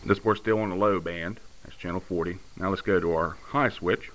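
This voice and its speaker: Guy with lowish voice doing a casual hobby tech demo, matter of fact, noisy homemade camera audio. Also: fairly even intonation, normal speed, a bit bright. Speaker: radio hobbyist demonstrating his conversion work. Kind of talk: casual hands-on technical walkthrough. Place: indoor room at a home radio bench. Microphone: handheld camera's built-in mic. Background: clear background noise.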